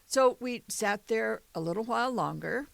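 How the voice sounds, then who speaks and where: A woman's voice: on the high side, expressive, moderate pace, creaky, no room sound. An older woman co-hosting a podcast, telling stories in a chatty way and laughing often, with a tired morning voice. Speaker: podcast co-host. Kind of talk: casual chat.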